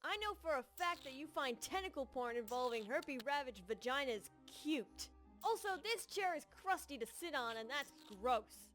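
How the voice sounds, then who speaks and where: A woman's voice: high-pitched, animated, moderate pace, a bit bright, soft background music. A woman playing a nerdy, sarcastic young boy in a cartoon parody, snide and matter-of-fact.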